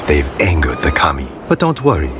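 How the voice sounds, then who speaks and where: An actor playing a seasoned, plainspoken sailor in a video game, respectful and wary but confident. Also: male voice, a bass voice, huge pitch swings, brisk, exaggerated voice. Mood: respectful, reassuring. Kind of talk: cinematic video game acting. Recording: clearly noisy outdoor ambience.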